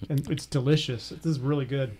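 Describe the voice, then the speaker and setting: A man's voice: mid-range voice, steady tone, fast talker, mellow, slight background noise. A man co-hosting a casual panel podcast, chatting informally. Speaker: podcast co-host. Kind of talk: casual panel chat about beer.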